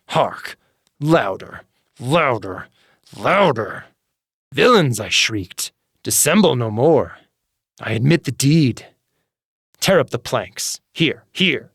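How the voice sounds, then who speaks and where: A man's voice: on the low side, creaky, slightly rough, no echo. Intense dry-voiced man reading horror. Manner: intense, building from calm menace to frantic. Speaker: narrator. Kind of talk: dramatic reading of a classic horror tale.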